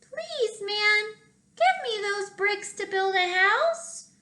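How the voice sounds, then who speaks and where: A woman voicing a small, clever male pig in a children's storybook, in a very high squeaky voice, in an echoey room. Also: animated, takes it slowly, sharp tone, heavily acted. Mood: pleading.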